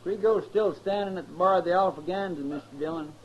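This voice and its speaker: An actor playing a loyal, deferential sidekick to a frontier marshal in a 1950s radio western, with a rural American West accent. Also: male voice, high-pitched, quick pace, lightly acted. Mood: worried. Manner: deferential, plain-spoken, questioning. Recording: dull 1950s radio recording, crowd behind.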